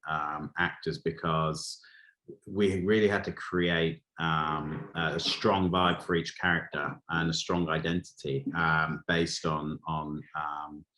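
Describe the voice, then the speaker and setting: A man's voice: very deep voice, a bit bright. A man from Wales answering interview questions as a film director, speaking hesitantly and searching for his words.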